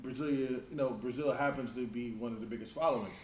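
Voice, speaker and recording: A man's voice: mid-range voice, fast talker, darkish tone, reverberant, a little hiss or hum, poor audio. A man co-hosting a reaction show, talking in a laid-back, questioning way with hesitant fillers. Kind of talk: casual group chat reacting to a music video.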